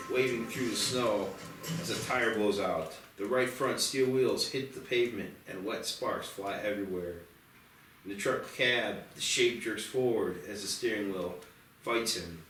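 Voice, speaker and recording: A man's voice: lowish voice, measured pace, a bit bright, recorded from a distance. A man reading scripted action and stage directions as narrator at a screenplay table read. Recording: roomy, off-mic, music behind.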